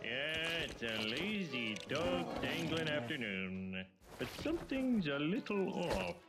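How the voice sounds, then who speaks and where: Middle-aged cartoon family man, dim, whiny, unhurried, very animated, loud music behind. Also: on the high side. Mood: dopey, musing. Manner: whiny, dopey, exclamatory outbursts. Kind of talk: animated comedy cartoon acting.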